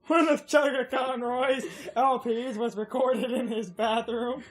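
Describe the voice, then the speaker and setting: A woman's voice: fairly high pitch, fairly even intonation, measured pace. A woman chatting casually as a co-commentator on a video game let's play. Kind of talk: casual gaming chat.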